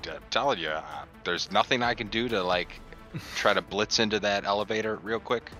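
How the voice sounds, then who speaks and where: A man's voice: on the low side, fairly quick, pushed and bright. A man playing a tabletop game, chatting casually and often doubling back on his words. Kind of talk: casual banter. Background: music.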